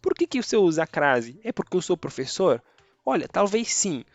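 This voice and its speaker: A man's voice: high voice, sing-song, normal speed, no room sound, muffled recording. A man whose first language is Spanish, teaching Spanish grammar in a casual online lesson and checking in often with his viewers. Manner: chatty, checks in with tá and beleza.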